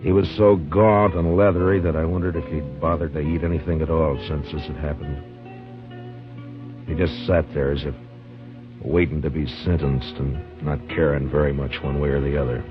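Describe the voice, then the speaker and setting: A very deep-voiced frontier marshal, giving orders with calm, firm authority. The sound is dull and lo-fi, like an old radio broadcast, with music clearly underneath. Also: male voice. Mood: somber. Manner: firm, measured, commanding. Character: stern frontier marshal, man, middle-aged, calm authority. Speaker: marshal narrating. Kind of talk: 1950s radio drama acting.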